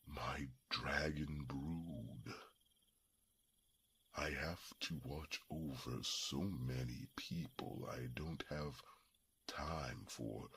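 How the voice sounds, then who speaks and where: An ancient, protective dragon in a fantasy ASMR roleplay. The voice is extremely deep and very raspy, with a sharp, forceful edge. It grumbles in formal, halting phrases, gruff yet secretly tender. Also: male voice, heavily acted. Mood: wistful, reserved. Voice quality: very deep gravelly rasp, breathy.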